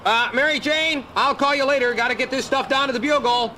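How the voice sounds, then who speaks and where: A man playing a young, wisecracking superhero in an audio drama, earnest and animated. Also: extremely high pitch, fairly quick, a little hiss or hum. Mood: brisk. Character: young wisecracking male superhero and news photographer.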